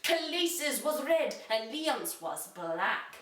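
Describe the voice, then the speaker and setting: Bright, high-voiced woman reading in echoey room. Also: very animated, slow, recorded from a distance, slight background noise. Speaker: reader. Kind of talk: dramatic reading of an amateur children's fantasy story. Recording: echoey room, away from the mic.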